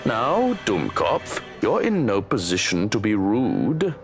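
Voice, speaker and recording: A man's voice: on the low side, wildly swooping pitch, slow, soft background music, full character voice. A man voicing a menacing, cruelly amused henchman in a visual novel, taunting with mock politeness. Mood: cold threat. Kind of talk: visual novel villain dialogue, read aloud.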